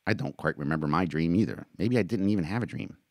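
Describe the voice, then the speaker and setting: A frustrated army corporal, played by a male narrator speaks with defensive insistence, like a loyal friend who has had enough. The delivery moves up and down in pitch. It is audiobook character work, recorded dry. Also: lively intonation, fast, no echo. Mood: calmer. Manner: exasperated, insistent.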